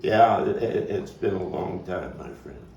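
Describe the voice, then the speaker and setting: A man's voice: low-pitched, expressive, measured pace, a little mellow, muffled recording. A man playing a disheveled, nostalgic drunk in a stage monologue, rambling and stammering with emphatic bursts. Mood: wistful, apologetic. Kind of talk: stage monologue at a table read. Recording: roomy, noisy, distant microphone.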